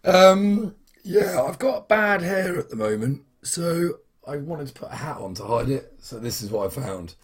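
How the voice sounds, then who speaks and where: A young man with a husky, hoarse voice, chatting casually in a weekly trading vlog, rambling with many fillers and restarts. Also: a little high, big pitch swings, a little slow. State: hoarse from shouting at football. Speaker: trading vlogger.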